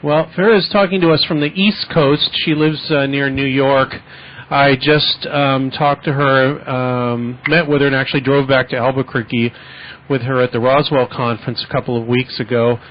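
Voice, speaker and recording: A man hosting a radio interview show, talking casually and relaxed, with many fillers and hesitations. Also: mid-range voice, normal speed. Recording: muffled lo-fi radio recording with faint hiss.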